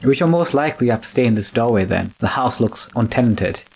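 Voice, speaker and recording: A man's voice: medium pitch, animated, a little fast, creaky, darkish tone, breathy edge. A man playing a deferential, uneasy manservant, polite and hesitant, in an old-time radio drama. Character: deferential, uneasy manservant following his master.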